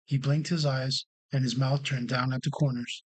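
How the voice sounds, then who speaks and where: A man's voice: mid-range voice, level tone, moderate pace. A man narrating a children's storybook as a read-along storyteller.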